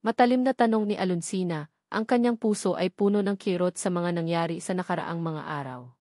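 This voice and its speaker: A woman's voice: lowish voice, a little fast, warm tone, no room sound, studio quality. A woman with a Filipino accent narrating a scripted dramatic story.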